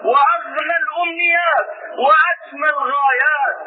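A man preaching a sermon in formal classical Arabic, with an emphatic, declamatory delivery and deliberate rhetorical repetition. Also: extremely high pitch, fairly even intonation, shouting. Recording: tinny phone-like band, faint noise.

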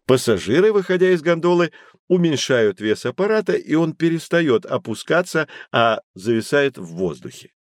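An actor playing a knowledgeable man who guides children through an educational audio drama, explaining things in a clear didactic way. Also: fairly high pitch, very animated, moderate pace, no room sound, clean studio sound. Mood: patient, explanatory. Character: knowledgeable male teacher guiding children on adventures. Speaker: guide explaining history and technology.

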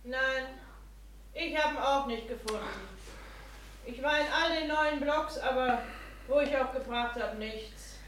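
A woman's voice: sits high, unhurried, pauses often, fairly bright tone, very echoey, recorded from a distance, a little hiss or hum, low-quality recording. An actress playing a dejected woman of the household in a German radio play. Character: dejected female household member back from searching.

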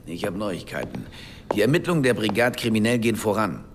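A man's voice: lowish voice, lively intonation, a little fast, background noise, lightly acted. A man playing a loyal aide who reports news to his boss in a matter-of-fact, deferential way. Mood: reporting. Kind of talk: radio drama acting. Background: music.

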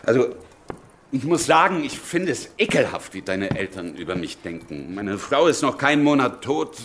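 A man playing a worldly, blunt revolutionary statesman in a radio drama, condescending and swinging from quiet to forceful. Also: mid-range voice, sing-song, moderate pace, slight background noise. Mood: indignant.

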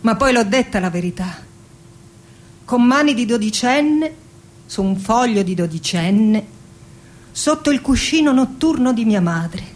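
Slow, melancholic poetess. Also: female voice, on the high side, expressive. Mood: brooding, confessional. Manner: intimate, dramatic, deliberate phrasing. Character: melancholic middle-aged poetess recalling her wounded girlhood. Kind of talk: poetic theatrical radio monologue.

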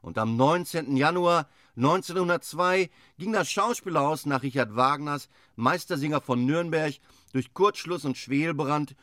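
A sober chronicler in a radio play, delivering scripted narration in a measured, factual way. His voice sits a little high and is recorded very dry and close. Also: fairly bright tone, no room sound. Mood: matter-of-fact. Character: sober male chronicler reciting theatre history.

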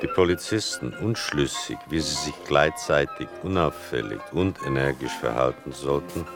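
An older man giving a literary scripted narration in a measured, wry, deliberate manner. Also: a bass voice, expressive, music in the background.

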